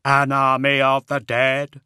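A man voicing an armored warrior with a hollow, synthetic voice, slow and ominous, in an audiobook. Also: medium pitch, completely flat delivery, bright, forceful tone, smooth, no echo, clean studio sound, pitched above the performer's own voice. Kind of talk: audiobook character acting.

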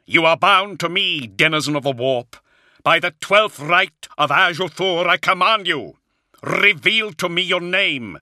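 Fierce warrior knight acted by a male narrator: commanding, defiant, declaimed incantations, raised pitch, forceful edge. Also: lively intonation, measured pace, no room sound, clean studio sound, full character voice.

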